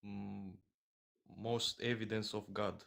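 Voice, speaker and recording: A man's voice: lowish voice, level tone, gravelly, a bit bright. Hesitant Romanian-accented male caller. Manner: halting, hesitant, many fillers.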